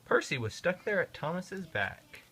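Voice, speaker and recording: A man reading a children's storybook aloud to his kids. His delivery is expressive and sing-song, with a fairly high voice. The pitch rises and falls a lot. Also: normal speed, slight background noise.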